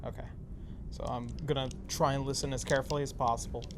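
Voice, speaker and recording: A woman's voice: extremely low pitch, steady tone, fast. A woman taking part in a tabletop game, talking casually with the other players. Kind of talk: casual group game chat. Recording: noticeable background noise.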